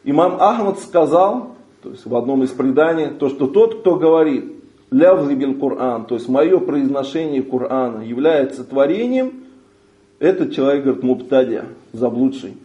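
Male religious teacher, reads a text and explains it line by line, mellow voice, slightly dull lo-fi sound. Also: medium pitch, expressive, moderate pace, roomy sound. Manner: reads a passage aloud, then translates phrase by phrase. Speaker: Islamic teacher.

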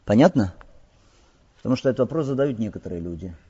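A man's voice: lowish voice, lively intonation, quick pace, dark tone, husky. A man giving an Islamic lesson as a teacher, explaining didactically with rhetorical questions and Arabic terms mixed into his Russian. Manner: didactic, asks rhetorical questions and answers them. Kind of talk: religious lesson, explaining hadith line by line. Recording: dull lo-fi audio in reverberant hall.

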